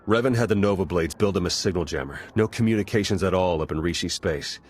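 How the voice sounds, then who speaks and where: An actor playing a wry, battered spy in a video game, with dry, clipped, natural delivery. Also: male voice, deep voice, fast, slight background noise, natural voice. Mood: urgent. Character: wry, battered male spy, sardonic but dependable.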